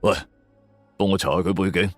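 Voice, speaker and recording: A man with a Hong Kong Cantonese accent voicing a curt, gruff veteran police investigator in an audio drama. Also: low voice, steady tone, a little fast, dry recording, pitched below the performer's own voice. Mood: curt, commanding. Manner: curt, commanding. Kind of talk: audio drama character dialogue. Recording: background music under voice.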